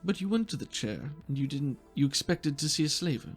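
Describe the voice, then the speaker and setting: A game master voicing a polite, precise male bureaucrat in a tabletop game, speaking in formal, hesitant, measured phrases. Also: mid-range voice, lively intonation, breathy edge, soft background music. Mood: apologetic, probing.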